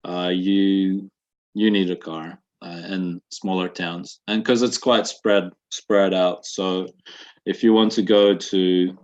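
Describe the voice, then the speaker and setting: A man in his twenties from Russia, with a Russian accent softened by New Zealand, answering interview questions in a halting way with many fillers, on dull lo-fi webinar audio. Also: deep voice, flat delivery. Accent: Russian with a slight New Zealand accent. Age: 20s. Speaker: guest.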